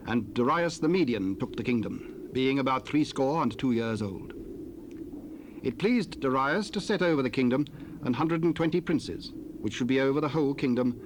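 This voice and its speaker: Steady male scripture narrator. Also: medium pitch. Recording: hissy cassette recording.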